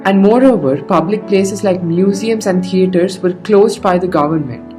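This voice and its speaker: A woman's voice: medium pitch, normal speed, rough recording. A woman student giving a sincere, encouraging personal video message. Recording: guitar music behind.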